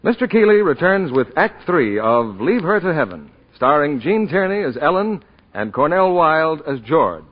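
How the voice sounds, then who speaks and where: A man's voice: on the high side, sing-song, moderate pace. A man announcing formally in projected 1940s radio style. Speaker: network announcer. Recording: muffled 1940s radio, loud audience noise.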